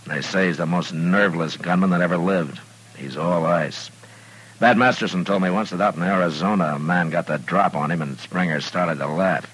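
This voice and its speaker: A man acting a steady, dry frontier lawman with an American accent in an old radio western, speaking tersely on a noisy vintage recording. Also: low voice, moderate pace, breathy edge. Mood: stern, calm. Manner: terse, deadpan, laconic.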